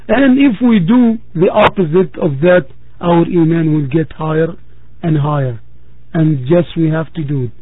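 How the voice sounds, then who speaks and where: A man with a raspy, breathy voice gives a measured sermon, repeating phrases to drive his points home. The sound is thin and phone-like, and very dry. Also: sits high, no echo. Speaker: preacher. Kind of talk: religious talk to a congregation.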